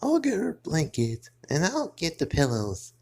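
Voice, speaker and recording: A man's voice: huge pitch swings, vocal fry, breathy edge. A man voicing the friends in a fan-made skit with a goofy delivery. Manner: jumpy pitch, slow, goofy delivery. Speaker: skit maker and narrator. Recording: music playing loudly behind.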